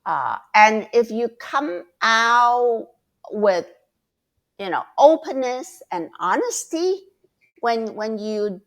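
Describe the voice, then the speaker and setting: Slow, thoughtful woman mentor. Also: a little high, sing-song. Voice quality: loud, passionate voice. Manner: slow, thoughtful, repeats words while searching. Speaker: guest mentor. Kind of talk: casual advice talk.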